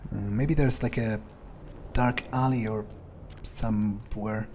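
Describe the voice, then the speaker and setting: A man with a soft, dark tone plays a game in character, voicing his thoughts aloud. His delivery is very slow and musing, with crude muttered asides. Faint noise and game ambience sit behind him. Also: lowish voice, steady tone. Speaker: role-playing game streamer, speaking in character. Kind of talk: in-character gameplay commentary, thinking aloud.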